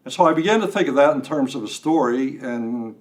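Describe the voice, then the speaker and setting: An older man chatting as an interview guest, talking in a halting way with self-deprecating humor. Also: medium pitch, lively intonation. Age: 60s or older. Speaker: guest writer. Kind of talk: casual interview. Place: radio studio.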